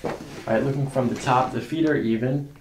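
A man's voice: mid-range voice, fairly even intonation, normal speed, noisy background. A man in his thirties working as a chiropractor, talking a patient through a hands-on exam with instructions and short check-in questions. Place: small carpeted clinic treatment room. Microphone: microphone on a boom arm near the table.

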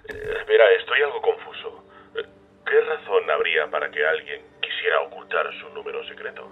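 An actor with a Castilian Spanish accent playing a measured, skeptical middle-aged psychiatrist in a dubbed film, polite and a little hesitant. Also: male voice, extremely low pitch, very animated, phone-quality sound. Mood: puzzled, measured. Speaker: dubbing actor.

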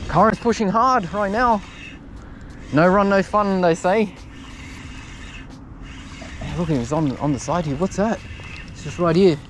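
A young man commentating his own fishing live from an outdoor wharf at night, chatty and loudly excited when fish bite. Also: high voice, a little slow, pauses often. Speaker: fishing vlogger. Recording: outdoor night recording with background noise.

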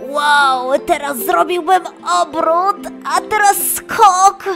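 Lady doing a young lion boy for a kids story, high voice, eager n playful, music under it. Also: takes it slowly, a bit bright, full character voice. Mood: delighted, excited.

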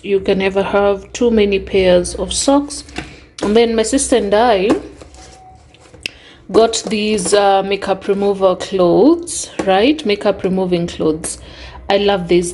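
A woman's voice: medium pitch, dark tone, a little hiss or hum. A young adult woman with a Kenyan accent, chatting casually through a shopping haul voice-over and listing items one by one. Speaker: vlogger.

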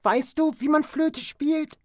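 Young musician goat played by a woman: wary, firm, high-pitched, unhurried, kids' cartoon. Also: music in the background. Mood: suspicious. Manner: deliberate, firm, scolding. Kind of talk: children's cartoon voice acting.

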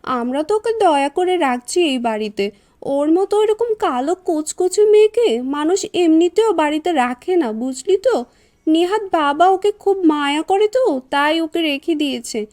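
A woman's voice: extremely high pitch, expressive, unhurried, darkish tone. A woman voicing a spiteful, bossy young sister in a cartoon, scolding with scorn. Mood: scornful. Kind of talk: Bengali cartoon dubbing.

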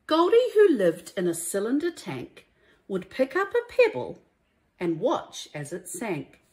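Slow, lively New woman storyteller. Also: a little high. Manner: deliberate, emphatic storytelling for young children. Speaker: primary school teacher reading a story to young pupils. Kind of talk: expressive children's storybook read-aloud.

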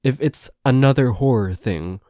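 A man's voice: mid-range voice, moderate pace, warm tone, no room sound, clean studio sound, natural voice. A man playing a nervous, suspicious, grumpy boyfriend in an ASMR roleplay, hesitant and stammering, with some swearing. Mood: suspicious, grumbling. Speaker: amateur voice actor.

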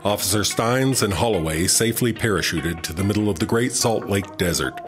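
A man acting as a serial announcer reads a scripted recap in a low voice. Music plays prominently beneath him, in a comedy audio drama. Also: brisk, lightly acted. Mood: dramatic recap. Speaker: narrator.

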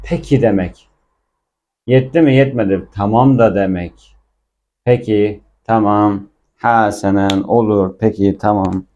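A man's voice: lowish voice, fairly even intonation, a little slow, warm tone, lo-fi sound. A man giving a casual language lesson, explaining film dialogue and repeating words with their translations. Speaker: Arabic teacher.

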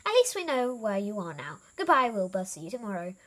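A woman voicing a calm, kindly, gently teasing elephant leader in a children's storybook. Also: medium pitch, brisk.

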